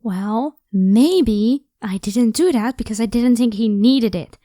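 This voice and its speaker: Casting: actress as protective, playful vampire woman, soft-toned and higher pitched, reassuring everyday delivery, for ASMR audio drama. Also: slow pace, no echo, studio quality. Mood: firm, protective. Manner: casual, encouraging, reassuring.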